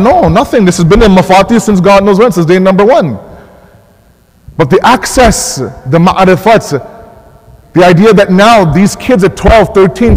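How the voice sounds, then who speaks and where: An American man preaches in a fairly high, lively voice that swings up and down as he presses each point. He repeats and restarts phrases with urgency. A long hall reverb trails behind his words. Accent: American English. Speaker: mosque speaker. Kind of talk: sermon. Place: mosque hall.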